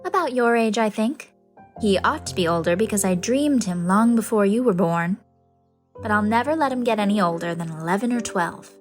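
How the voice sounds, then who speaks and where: A woman voicing a gentle, wistful middle-aged lady in a storybook reading, dreamy and tender in manner. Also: medium pitch, lively intonation, moderate pace, faint music behind, close to an everyday voice. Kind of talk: dramatized audiobook character reading.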